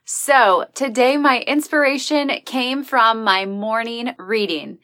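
A woman's voice: a little high, takes it slowly, pushed and bright, dry recording, studio quality. A woman hosting an inspirational podcast, talking earnestly and encouragingly about faith. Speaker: podcast host. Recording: recorded as a live video.

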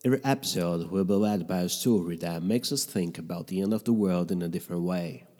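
Deep, husky man narrating a podcast. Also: fairly quick, mellow, in a large hall. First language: Portuguese. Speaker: podcast host. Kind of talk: scripted narration. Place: bedroom in a noisy neighborhood.

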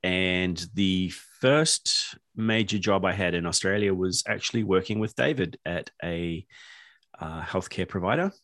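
A man's voice: sits low, a little slow, no echo, clean studio sound. A middle-aged man, a podcast guest chatting casually and laughing easily. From New Zealand. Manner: laughs easily, restarts sentences, relaxed. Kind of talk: casual interview chat.

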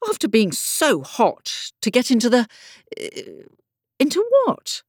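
A woman's voice: medium pitch, wildly swooping pitch, a little slow, darkish tone, husky, no room sound, clean studio sound, voice pushed up, heavily acted. A woman voicing a chatty, puzzled but determined storybook little girl who muses aloud in hesitant exclamations.

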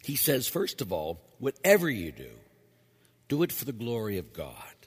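A priest preaching in a church, a man with a lowish, husky and slightly hoarse voice. He talks to the congregation in a conversational way and drives his points home with repetition. The sound carries a long reverb from the church hall. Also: huge pitch swings, moderate pace. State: losing his voice. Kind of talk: homily.

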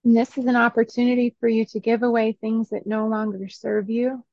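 A woman leading a guided meditation in a soothing, instructional way, in short phrases with long pauses between them. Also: fairly high pitch, little pitch movement, darkish tone, dry recording, lo-fi sound.

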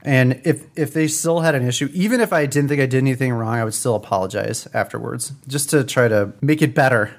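A man's voice: medium pitch, brisk, hardly any pauses. A man co-hosting a casual podcast, chatty and laughing easily as he tells stories. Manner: chatty, laughs often while telling stories. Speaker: podcast co-host. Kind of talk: casual chat, storytelling.

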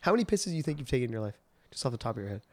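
Fast-talking playful male comedian guest, close dry mic. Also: medium pitch, big pitch swings, dry recording. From Montreal. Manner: quick, playful, jokey. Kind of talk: casual comedy podcast chat.

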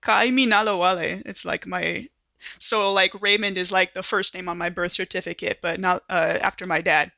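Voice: medium pitch, fairly even intonation, moderate pace, vocal fry, sharp tone, no room sound. A performer playing a friendly, joking young Marine medic in a sci-fi tabletop roleplay, rambling and informal. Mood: reminiscing. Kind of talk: improvised in-character tabletop roleplay.

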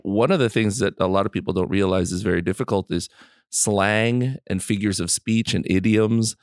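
A woman's voice: very deep voice, normal speed, darkish tone, dry recording, studio quality. A woman interviewing a guest, asking questions and giving frequent encouraging replies. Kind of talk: casual interview.

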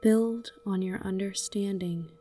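A woman narrating a scripted guided meditation in a gentle, soothing manner, leaving long pauses between short phrases. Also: medium pitch, steady tone, recorded from a distance, slight background noise.

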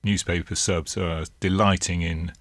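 A man co-hosting a political podcast, explaining in a measured, hesitant way with many fillers and sounding a little under the weather. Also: low voice, fairly even intonation, dry recording. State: a bit ill. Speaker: co-host and political editor. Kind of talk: casual political podcast chat.

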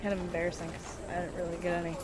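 A woman's voice: on the low side, completely flat delivery, very fast, close to an everyday voice, low-quality recording. A woman playing a moody, sarcastic, foul-mouthed college student in an amateur audio drama. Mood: polite, awkward. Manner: sarcastic, blunt, slangy.

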